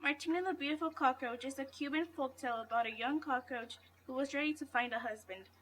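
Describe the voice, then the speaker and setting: High, bright-voiced female storybook reader. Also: quick pace, poor audio. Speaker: reader at a read-aloud event. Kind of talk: storybook read-aloud. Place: school library. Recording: faint background noise.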